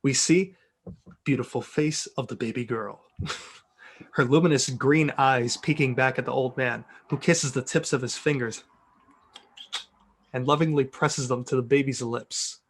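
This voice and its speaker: A man's voice: medium pitch, measured pace, long pauses, slightly rough. A man reading script narration and stage directions aloud in an amused, theatrical way with joking asides. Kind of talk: dramatic reading of a film script.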